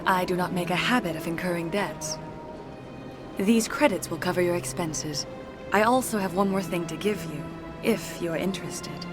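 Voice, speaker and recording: A woman's voice: fairly low pitch, moderate pace, close to an everyday voice. Woman doing a serene mystical elder, formal solemn speech, game music behind.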